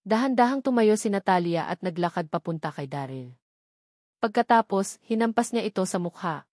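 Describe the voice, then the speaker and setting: A woman's voice: medium pitch, animated, moderate pace, a little mellow, dry recording. Woman narrating a novel, very dry recording. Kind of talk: scripted audiobook narration.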